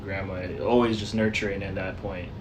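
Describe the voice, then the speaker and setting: A man speaking as a guest in a casual discussion, thoughtful and hesitant, often pausing with "um". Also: low voice, little pitch movement, noisy background.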